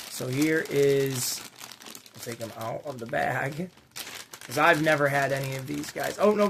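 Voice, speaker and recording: A man with a slightly raspy voice hosting a casual, unscripted unboxing vlog for toy collectors, rambling along with slangy, enthusiastic asides. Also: on the high side, noisy background. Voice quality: a little raspy. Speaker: toy collecting channel host.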